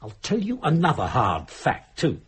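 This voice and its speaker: An agitated husband caught in a supernatural thriller. His voice is very rough and breathy, with pitch that leaps around as he presses his argument. He sounds urgent and insistent, in the manner of dramatic dialogue. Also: wildly swooping pitch, moderate pace, heavily acted. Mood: agitated, demanding. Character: agitated, insistent husband trapped in a haunted house.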